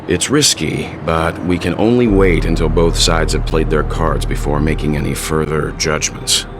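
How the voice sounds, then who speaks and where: Super deep measured older strategist, steady and reasoned, noisy game mix. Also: male voice. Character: older man, calm, analytical strategist. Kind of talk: video game voice acting.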